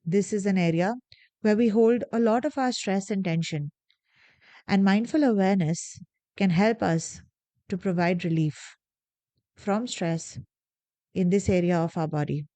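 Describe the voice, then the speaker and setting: A woman guiding a body scan meditation, giving slow, soft, calm instructions with long pauses. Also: medium pitch, dry recording. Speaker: meditation guide. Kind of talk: guided meditation.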